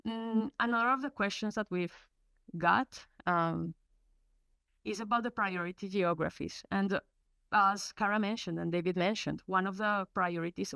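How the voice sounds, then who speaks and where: A woman's voice: mid-range voice, vocal fry, fairly bright tone, dry recording. A woman from Colombia, an English speaker, presenting in a webinar with many fillers and restarts. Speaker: research program presenter. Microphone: video call.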